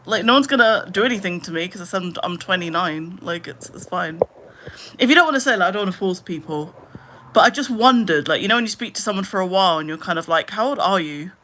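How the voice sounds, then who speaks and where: Bright-toned woman in her late 20s chatting on stream, says like a lot, trails off quiet sometimes. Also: lowish voice, expressive, quick pace, a little hiss or hum. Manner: chatty, heavy use of "like", trailing off into mutters. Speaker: livestreamer. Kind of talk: casual live stream chat.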